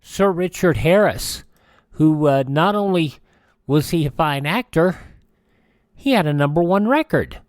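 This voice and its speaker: An older American man hosting a radio show, chatting casually through dates and birthdays with rambling, folksy asides and hesitant pauses. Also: on the high side, lively intonation, smooth. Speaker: radio host.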